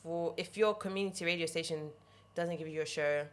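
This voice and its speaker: Brief: young woman radio presenter, very low, deep voice, lively and chatty, laughs easily. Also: fairly quick. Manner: animated, laughs often, drops into whispers. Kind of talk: casual podcast chat. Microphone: headset.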